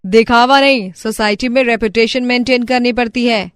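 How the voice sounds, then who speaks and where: A woman's voice: a little high, fairly even intonation, moderate pace, clean tone, dry recording, close to an everyday voice. Woman acting a bossy middle-aged mother-in-law, dry sound. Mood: indignant, scolding. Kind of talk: Hindi family moral-story cartoon acting.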